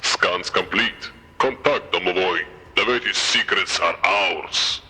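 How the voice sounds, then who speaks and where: Male trooper for a strategy game: eager, terse, very hard-edged tone, lowish pitch. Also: slow, a little hiss or hum, full character voice. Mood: triumphant. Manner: terse, forceful military reporting. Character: eager male field soldier reporting scan results. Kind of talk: video game unit dialogue.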